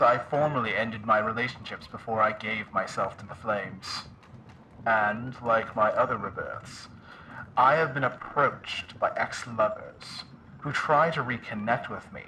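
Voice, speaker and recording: An actor playing a weary, newly reborn phoenix in an audio drama, speaking in solemn, formal, measured phrases. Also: male voice, lowish voice, pushed and bright, slight background noise, low-quality recording. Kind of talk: audio drama call-in monologue.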